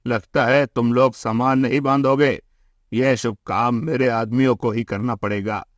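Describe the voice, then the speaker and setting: A man's voice: medium pitch, fairly even intonation, measured pace, no echo, clean studio sound, close to an everyday voice. A man voicing a commanding, pompous rich land-grabber who bullies the poor in a cartoon moral story. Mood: bullying, boastful. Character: gruff, bullying male land-grabbing rich villain.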